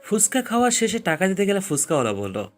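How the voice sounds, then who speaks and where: A man's voice: sits high, huge pitch swings, fast, faint music behind. A man reading scripted story narration as the narrator.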